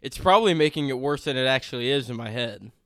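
A twenty-year-old man with a pronounced lisp, chatting casually and swearing freely as a podcast host, in an animated, self-deprecating way. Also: mid-range voice, fast, no echo, clean studio sound. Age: 20, turning 21 soon. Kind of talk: casual chat.

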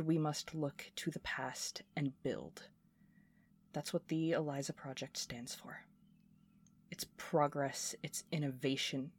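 A woman playing a scrappy, intense inventor recording a personal log in an audio drama, talking in a halting, volatile way. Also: low voice, a little mellow. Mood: solemn, intense. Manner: swearing, halting, swinging from muttering to fervent.

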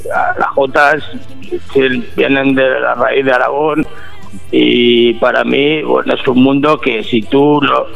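A man with a hoarse, rough voice, talking casually and haltingly as a guest on live radio. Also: mid-range voice, fairly even intonation, lo-fi sound. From Bujalance, Andalusia, Spain. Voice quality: hoarse, gravelly. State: hoarse after little sleep. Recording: radio show with faint music.

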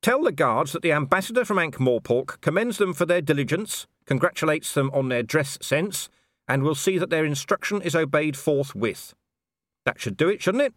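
Storybook watch commander, male narrator acting: forceful, sarcastic, commanding, lively intonation. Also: on the high side, moderate pace, dry recording, studio quality, pitched above the performer's own voice. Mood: brisk, commanding. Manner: forceful, sarcastic, clipped orders. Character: gruff, commanding, sardonic city watch commander turned ambassador.

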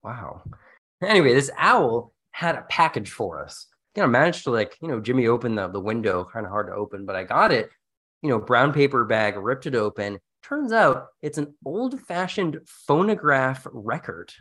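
Male host, animated intonation, theatrical manner, playful scripted storytelling. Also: medium pitch, moderate pace. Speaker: podcast host.

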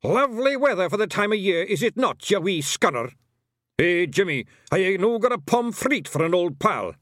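A man voicing a rowdy little male fairy with a thick Scottish accent, rough and mock-polite. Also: high voice, big pitch swings, normal speed, fairly bright tone, no room sound, studio quality, pitched above the performer's own voice.

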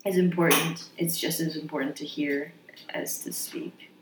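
A young woman with a low voice, chatting easily on a casual podcast and backing her co-host with quick agreements. Her voice rings in an echoey room with faint background hiss. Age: young adult. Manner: relaxed, chatty, frequent agreeing fillers.

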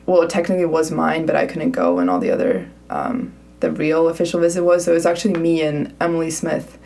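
A young Swedish woman talks easily as a podcast guest, her voice gravelly with fry. English is her second language, and her phrases fade off at the ends. She sits a little back from the microphone. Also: sits low, fast talker, dark tone, far from the mic. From Sweden. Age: young adult. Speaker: college soccer player, guest. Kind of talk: casual interview chat.

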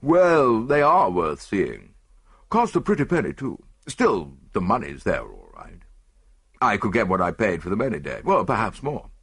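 Guy doing a smug moneyed stockbroker, rambly and blustery, swingy pitch, audiobook mystery. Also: wildly swooping pitch, moderate pace, fairly bright tone, breathy edge, no echo, clean studio sound, exaggerated voice. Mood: smug, grumbling. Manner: rambling, clipped phrases. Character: rich, self-satisfied, blustering male stockbroker.

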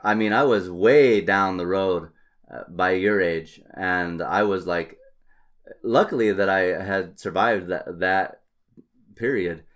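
A middle-aged man talking candidly and casually, in a halting, reflective way with frequent pauses. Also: low-pitched.

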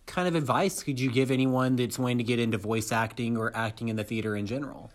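A man's voice: medium pitch, fairly even intonation, fast talker. Guy hosting an interview, asking questions in a casual chatty way. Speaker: interviewer, host.

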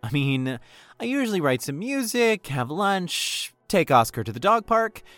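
A man's voice: sits high, very animated, unhurried, fairly bright tone, dry recording, soft background music, full character voice. An actor playing an earnest, lovestruck young man in an audio drama, a little hesitant and awkward. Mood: easygoing. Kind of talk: naturalistic audio drama acting.

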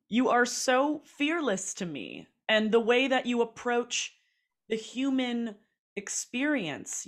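A woman interviewing a guest, asking open, probing questions about his life. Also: a little high.

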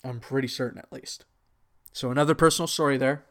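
A young man in his twenties talks in a loose, unscripted solo podcast style, with a Texan accent. He rambles and corrects himself as he goes, and his voice sits at an ordinary middle pitch for a man. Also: fairly even intonation, quick pace. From United States. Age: 20s. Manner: rambling, self-correcting, casual. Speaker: podcast host.

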